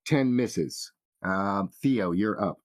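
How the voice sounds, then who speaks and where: Slow, low-voiced man as game master. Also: slight background noise. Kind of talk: narrating a tabletop fantasy game.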